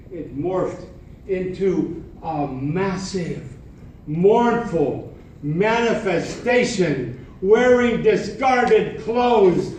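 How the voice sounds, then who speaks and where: A man giving a theatrical, humorous storytelling speech to an audience, with dramatic, drawn-out emphasis. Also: high voice. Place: large conference room with live audience. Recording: echoey hall from far off, faint noise.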